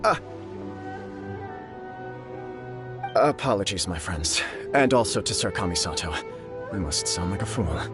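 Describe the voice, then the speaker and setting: A man's voice: low-pitched, lively intonation, normal speed, music in the background. An actor playing an earnest, lovestruck young nobleman in a video game, polite and sincere in his speech. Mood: humble, grateful.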